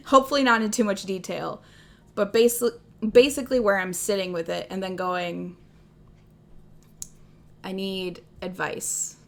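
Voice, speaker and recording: A young woman with a Midwestern accent, chatting casually on a livestream, rambling and self-deprecating, laughing often. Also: medium pitch. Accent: Midwestern American. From American Midwest. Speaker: streamer.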